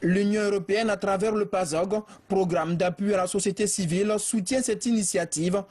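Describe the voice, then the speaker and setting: A male news reporter with a high voice reads in a steady, formal way. His voice has a husky edge. The reading is even and unhurried. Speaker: field reporter.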